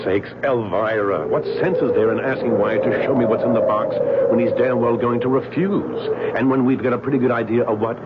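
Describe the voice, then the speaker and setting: An actor in an old-time radio drama playing an agitated, stammering husband who is a skeptical gentleman passenger. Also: medium pitch, fairly even intonation, fairly quick, close to an everyday voice. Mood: exasperated, horrified. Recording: muffled, very noisy old radio recording.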